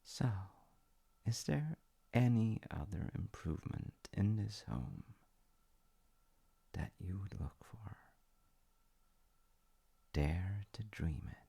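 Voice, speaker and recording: Man acting as low, gravelly wizard fiancé. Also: expressive, slow pace, long pauses, full character voice. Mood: hushed, inviting. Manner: gentle, coaxing, often dropping into whispers. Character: playful, tender male wizard fiancé showing off magical home. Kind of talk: intimate ASMR-style audio roleplay to the listener.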